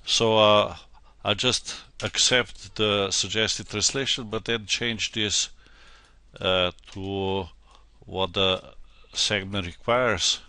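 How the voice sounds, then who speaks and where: A man with a Slovenian accent, a native Slovenian speaker, giving an unscripted software tutorial in a halting, hesitant way. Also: on the low side, fairly even intonation, smooth, no echo. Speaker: translator demonstrating software.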